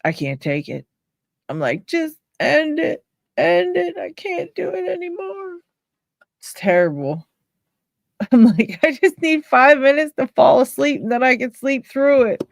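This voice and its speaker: A woman's voice: high voice, sing-song, a little slow, vocal fry, no echo, studio quality. A woman with a Pittsburgh accent, hosting a casual live stream and chatting with viewers while she reads names from chat and laughs often. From Pennsylvania, USA. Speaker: livestream host.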